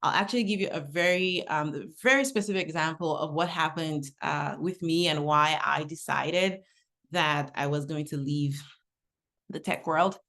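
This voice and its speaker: Lower-pitched woman presenting a webinar. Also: normal speed, fairly bright tone. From Nigeria. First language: English. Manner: conversational, uses personal anecdotes. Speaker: webinar speaker.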